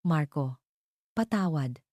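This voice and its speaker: A woman's voice: sits low, expressive, slow pace, a little mellow, dry recording, clean studio sound. A woman voicing a remorseful young mother in an audio drama, pleading and trembling as she confesses past pain.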